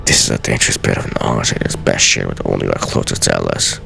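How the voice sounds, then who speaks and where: A man's voice: fast talker, bright, forceful tone, slight background noise, exaggerated voice. A man playing an aged master assassin, grave, weary and theatrical, reading out solemn journal entries from a video game. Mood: measured, proud. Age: older. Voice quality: very deep, gravelly.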